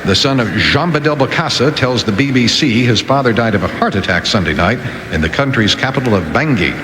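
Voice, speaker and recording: A man's voice: mid-range voice, expressive, brisk, echoey room, long reverb tail, noisy background. A man working as a radio newsreader, reading headlines and adding casual asides.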